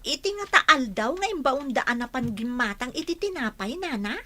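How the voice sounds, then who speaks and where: An actress plays a respectful housemaid with an Ilocano accent. Her voice is very high and bright, deferential yet eager to report what she has seen, in radio drama style. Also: expressive, moderate pace, exaggerated voice. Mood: questioning. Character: deferential, gossipy young female housemaid.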